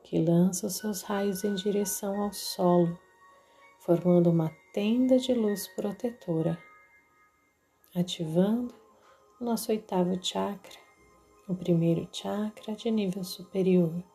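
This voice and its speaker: A woman with a Brazilian accent narrating a guided meditation in a soothing, deliberate way, leaving long pauses. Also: mid-range voice, steady tone, dark tone. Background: background music.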